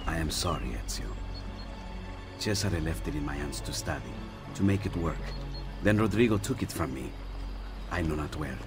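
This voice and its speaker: An actor playing a gentle, apologetic Renaissance inventor in a video game, speaking courteously and confidingly with an Italian accent. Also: male voice, extremely low pitch, expressive, moderate pace, pauses often, over music. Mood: regretful. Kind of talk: dramatic video game cutscene acting.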